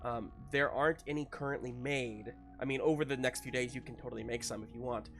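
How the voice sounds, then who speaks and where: A man with a noticeably creaky voice acts as game master. He improvises narration in a casual, halting, encouraging manner. Music plays faintly behind him. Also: medium pitch, steady tone, fairly bright tone.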